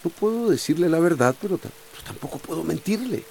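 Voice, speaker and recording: A harried, middle-aged small-town mayor in a radio soap. His intonation is very animated and he sounds dutiful. A faint hiss sits behind him. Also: a little high, quick pace, gravelly. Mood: worried, weary. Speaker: radio drama actor. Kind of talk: radio soap opera acting.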